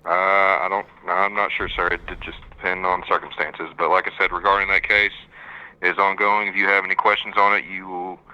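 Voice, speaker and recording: A very deep-voiced man with a hard, sharp edge answers hesitantly and politely, repeating set phrases. The sound is muffled and lo-fi, heard over a phone line. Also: level tone, brisk, clear, resonant tone. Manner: hesitant, cautious, repeats stock answers and says sir. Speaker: police department staffer answering the phone. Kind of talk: polite official phone reply.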